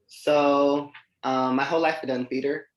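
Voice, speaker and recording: A man's voice: mid-range voice, little pitch movement, unhurried. Podcast guest, male, late teens, informal and chatty delivery, recorded with noticeable room echo. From United States. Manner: informal, conversational, heavy use of "like". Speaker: podcast guest, dancer and artist. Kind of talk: casual interview chat. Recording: echoey, roomy sound.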